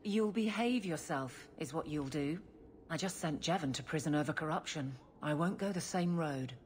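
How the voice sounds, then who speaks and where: A woman's voice: sits low, normal speed, a little hiss or hum, natural voice. A woman voicing a firm, upright, loyal captain of the guard in a video game. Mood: stern.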